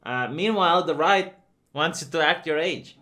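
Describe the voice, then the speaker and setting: Bright-voiced commentator man. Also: fairly high pitch, normal speed. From Romania. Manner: conversational and opinionated, many fillers. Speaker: video commentator. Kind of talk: opinionated commentary vlog.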